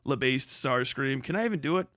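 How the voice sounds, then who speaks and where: A young man hosting a live stream, tearing into people with crude, mocking jokes and frequent laughter. His voice sits very high and swings widely in pitch. The sound is bright and dry, with no room echo. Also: lively intonation, fairly quick. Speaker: livestream host. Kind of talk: profane live reaction commentary.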